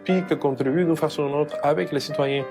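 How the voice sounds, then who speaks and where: A man's voice: fairly high pitch, steady tone, fast talker, music in the background. A man from Cuba, a jazz pianist, speaking French as an in an interview, hesitant with many fillers and restarts. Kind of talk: interview testimony.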